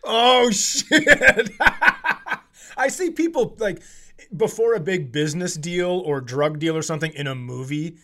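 Male comedian host, forties, American, fairly high voice, sweary casual riffing. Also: animated, measured pace, sharp tone. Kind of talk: casual comic chat.

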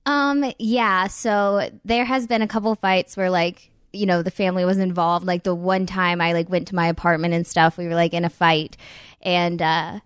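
Smooth-voiced woman in candid chat. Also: fairly low pitch, flat delivery, no room sound, clean studio sound. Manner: candid, talks fast with many "like" fillers. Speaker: podcast host. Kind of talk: casual chat.

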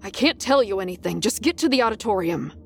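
A woman's voice: mid-range voice, animated, fast, natural voice. Woman reading as a brusque male teacher. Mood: curt, impatient. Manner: curt, barking orders.

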